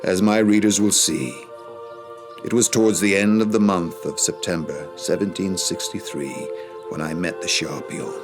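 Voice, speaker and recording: An actor playing an ageing, rueful Casanova, recounting his love affairs in a measured, literary way as dramatised memoir narration. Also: sits low, over music, lightly acted.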